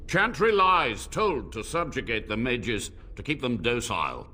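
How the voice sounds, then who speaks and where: An actor playing an arrogant old mage in a video game, speaking in a haughty, lofty, dramatic way. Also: male voice, mid-range voice, very animated, measured pace, bright, forceful tone, heavily acted. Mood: proud. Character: arrogant old human mage, cold and scornful.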